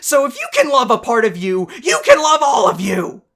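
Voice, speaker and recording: A woman voicing a brash, fiery fish-warrior woman who bosses and encourages loudly in a visual novel game. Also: huge pitch swings, normal speed, heavily acted. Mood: fired up, passionate. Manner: forceful, booming encouragement. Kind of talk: amateur visual novel voice acting.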